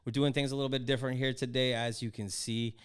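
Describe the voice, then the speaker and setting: A man's voice: mid-range voice, level tone, fast talker, smooth. A middle-aged man from Canada hosting a podcast in a casual, chatty way, with frequent fillers and restarts. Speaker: podcast host. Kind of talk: casual chat.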